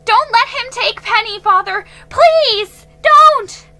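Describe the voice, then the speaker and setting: A woman's voice: lively intonation, slow, sharp tone, heavily acted. Woman voicing a pleading, headstrong young girl. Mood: desperate. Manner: pleading, high and eager. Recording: faint music behind.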